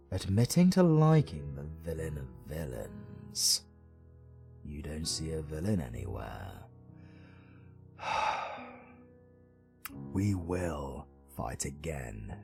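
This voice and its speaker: A brooding British supervillain played by a male actor with a very low, gravelly voice and a slow, dramatic delivery. He is sarcastic and flirtatious, weary of the world yet secretly caring, in an intimate romantic comedy roleplay. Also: a little mellow, exaggerated voice. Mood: flustered, playful, then resigned, tender. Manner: dramatic, teasing, sweary, swinging from murmurs to outbursts.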